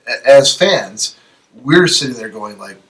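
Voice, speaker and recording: A man's voice: medium pitch, expressive, measured pace, fairly bright tone. A man co-hosting a casual podcast, ranting in an emphatic, profane way. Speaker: podcast co-host. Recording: distant mic, faint background noise.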